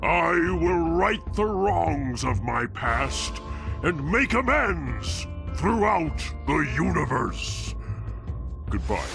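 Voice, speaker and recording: Slow, creaky, woeful blob villain. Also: male voice, a little high, big pitch swings, music in the background, exaggerated voice. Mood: proud, grand. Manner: slow, earnest, dramatic pauses. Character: giant sentient booger villain, childlike, woeful, turning good. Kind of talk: cartoon game voice acting.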